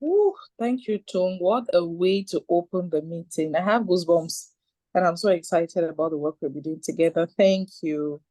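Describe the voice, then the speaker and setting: Woman moderator, low mellow voice, effusive, dry sound. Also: normal speed, no echo, low-quality recording. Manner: effusive, upbeat hand-offs. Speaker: meeting moderator. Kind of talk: moderating an online meeting.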